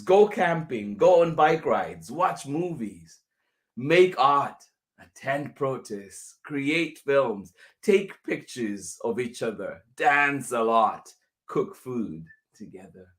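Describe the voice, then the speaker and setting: Guy doing a storytime read-aloud, really slow, pauses between phrases, mid pitch. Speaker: teacher reading a picture book aloud to students. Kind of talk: picture book read-aloud.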